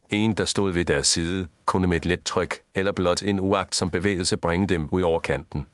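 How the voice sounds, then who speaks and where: Male, low and dry-recorded actor as exacting detective for an audio mystery, formal, grave, measured. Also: dry recording, close to an everyday voice. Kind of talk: scripted audio story dialogue.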